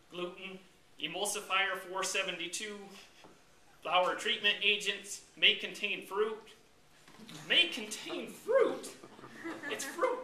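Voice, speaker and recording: An American man delivers a comic speech with theatrical mock indignation. His voice is high and very bright and forceful, pushed out to a room. It sounds roomy, picked up from a distance. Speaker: club speaker. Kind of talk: humorous tall-tale speech to a live audience. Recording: roomy, distant mic with background noise.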